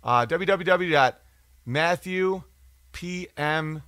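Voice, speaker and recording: A man's voice: on the high side, slow, fairly bright tone, smooth, dry recording, clean studio sound. A man hosting a podcast, talking in a casual, digressive, profane monologue as he reads out and answers comments. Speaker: podcast or livestream host.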